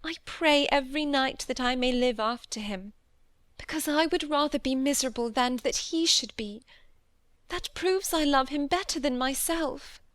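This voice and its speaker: A woman's voice: sits high, normal speed, a bit bright, no room sound, pitched above the performer's own voice. A woman voicing an impulsive, spirited teenage girl who speaks in eager, earnest pleas.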